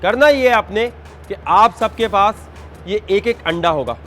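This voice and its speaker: A man's voice: sits high, lively intonation, unhurried. A man hosting a dare reality show, stern and mocking toward the contestants. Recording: music loud behind the voice.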